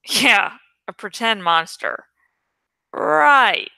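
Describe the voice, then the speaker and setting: A woman's voice: on the high side, expressive, drawn-out words, creaky, bright, forceful tone, clean tone, no echo, full character voice. A woman voicing a frantic, sarcastic teenage boy in an audiobook-style story reading, urgent and exclamatory.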